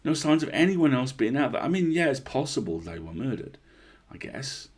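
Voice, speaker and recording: A man's voice: medium pitch, sing-song, normal speed, a little mellow, voice pushed up. A man voicing a blunt, rattled park ranger in a horror story, confiding and swearing in an incredulous, conversational way.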